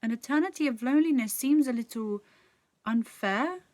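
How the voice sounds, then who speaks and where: A woman's voice: high voice, moderate pace, a little mellow, voice pushed up, close to an everyday voice. A woman playing a curious, bold young girl in a children's story, earnest and questioning. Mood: indignant.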